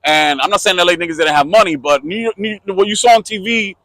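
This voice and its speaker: A middle-aged man from Puerto Rico with a Puerto Rican accent, talking loosely and at length on a casual podcast. His voice sits a little high and comes across bright and forceful. He strings stories together with lots of "you know what I mean" and plays out quotes from friends. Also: fast talker, dry recording, poor audio. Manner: rambling, full of fillers, acts out quotes in voices. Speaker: podcast co-host. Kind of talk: casual storytelling chat.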